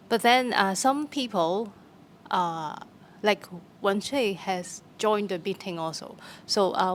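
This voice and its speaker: Slow, halting Hong Kong woman. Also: medium pitch, a bit bright. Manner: halting, many fillers and restarts. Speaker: theatre practitioner. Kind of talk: conversational interview answer. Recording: faint background noise.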